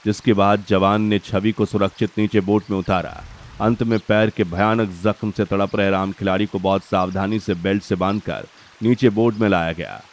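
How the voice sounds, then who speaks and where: A man's voice: on the low side, fairly even intonation, normal speed. A man reading a scripted, dramatic story narration.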